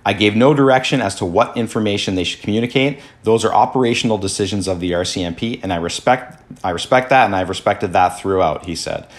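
A man from Canada hosting a podcast, reading news articles aloud and commenting in a halting way full of fillers, his voice slightly worn. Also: fairly low pitch. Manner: halting, many fillers and restarts. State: losing his voice. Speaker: podcast host.

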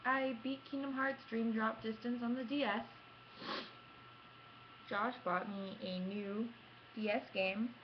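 Muffled young woman vlogger, casual chat. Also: fairly high pitch. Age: young adult. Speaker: gaming vlogger. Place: small room at home, indoors. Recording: muffled lo-fi webcam audio, background noise.

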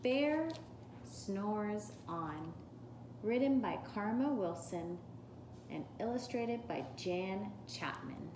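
A woman in her forties giving a storybook read-aloud and craft demonstration in a deliberate, instructional way, recorded in a home kitchen from a step or two away. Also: mid-range voice, animated. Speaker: teacher reading a picture book aloud. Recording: echoey room with clear background noise.

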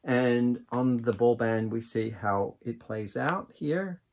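A man in his forties giving a casual product review to camera, unhurried and musing as he thinks aloud. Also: mid-range voice, darkish tone. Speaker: yarn vlogger, host. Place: small indoor craft room with shelves of yarn.

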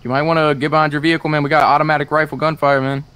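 A man's voice: a little high, level tone, racing, fairly bright tone, smooth. A man playing a police officer in improvised online game role-play, talking casually.

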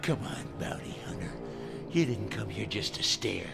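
A blustering, sarcastic smuggler adventurer in a radio drama. His voice is lively in pitch with a gravelly fry, and he wisecracks his way through everything over a loud orchestral score. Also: moderate pace, loud music behind the voice. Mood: taunting. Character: cocky, wisecracking, temporarily blind smuggler adventurer. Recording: loud film score behind dialogue.

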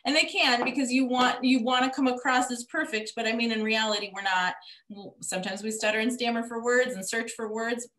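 A woman's voice: on the high side, fairly even intonation, fairly quick, fairly bright tone. Woman in her thirties whose first language is Greek, hosting a casual webinar over a video call. She talks in a friendly, rambling way, searching for words, and her voice rings in a roomy, echoey space. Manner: friendly, rambling, lots of fillers. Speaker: webinar host.

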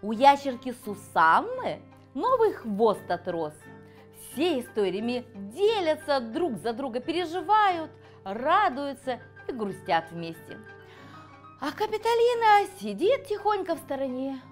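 A middle-aged woman tells a children's story expressively. Her voice sits a little high and moves with animated intonation, over faint instrumental music. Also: unhurried. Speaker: children's writer reading her own tale. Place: cosy furnished room set, indoors.

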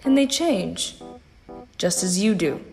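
A woman playing a composed phantom in a sci-fi audio drama, reasoning in a measured, pointed and persuasive way. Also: lowish voice, lively intonation, vocal fry, darkish tone, soft background music, exaggerated voice. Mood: calm, persuasive.

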